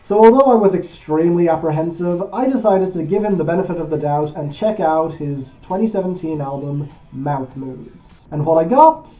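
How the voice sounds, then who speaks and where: Mellow-voiced man hosting an album review. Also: on the high side, expressive, normal speed, few pauses, highs cut off. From Belgium. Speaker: music album reviewer, show host. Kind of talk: scripted review voice-over.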